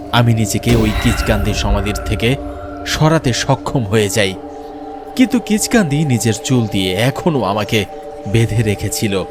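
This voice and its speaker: A man's voice: mid-range voice, lightly acted. A man playing a frightened young man, a betrayed lover telling his horror story in an earnest, dramatic first-person narration.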